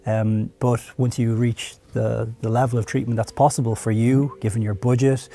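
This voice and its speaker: A man's voice: medium pitch, level tone, normal speed, vocal fry, warm tone. A man in his thirties hosting a conversational interview and explaining products. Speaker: host, product marketing head. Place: studio room with curtains and audio gear.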